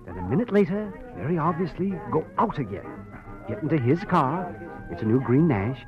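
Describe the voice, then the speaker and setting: A man's voice: a little high, very animated, fairly quick. An American actor playing an urgent, persuasive man in a 1940s radio drama, theatrical and emphatic. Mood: urgent, instructing. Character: urgent, persuasive man hiring a private detective. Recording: muffled old radio recording, music behind.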